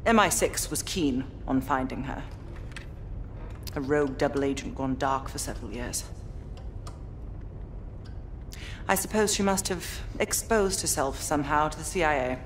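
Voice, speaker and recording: A woman playing a composed, wry British intelligence agent in a video game, speaking in a measured, guarded, dry way. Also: deep voice, a little hiss or hum, natural voice. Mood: probing, suspicious. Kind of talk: video game cutscene acting.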